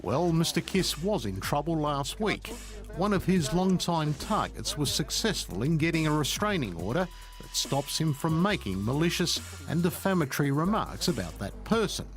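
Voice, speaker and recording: Aussie guy doing punchy tabloid news voiceover, highish voice, music underneath. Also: sing-song, moderate pace. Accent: Australian. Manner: sensational, punchy tabloid delivery. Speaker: TV news reporter. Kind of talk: scripted current-affairs narration.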